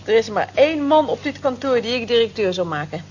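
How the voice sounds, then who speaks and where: A woman's voice: on the high side, expressive, fairly quick, darkish tone, lightly acted. An actress in an old radio play voicing a smitten, conscientious cleaning woman who talks earnestly and admiringly. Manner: earnest, admiring, defensive when teased. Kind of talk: vintage radio play acting. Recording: dull, hissy old radio play recording.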